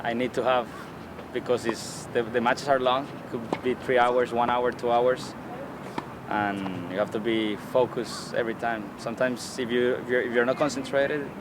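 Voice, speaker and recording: Young Colombian athlete, casual and halting, mid-pitched, street noise in the background. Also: male voice, steady tone. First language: Spanish. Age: young adult. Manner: halting, with many restarts and fillers. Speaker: college tennis player. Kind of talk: casual interview answers.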